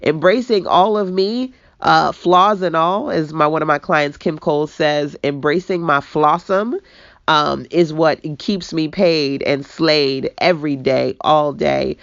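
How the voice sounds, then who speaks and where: A woman from Brooklyn with a deep, raspy voice, giving a chatty motivational talk as a business coach, laughing and quoting people as she goes. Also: a little slow, dry recording. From Brooklyn, New York. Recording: dull lo-fi dry recording.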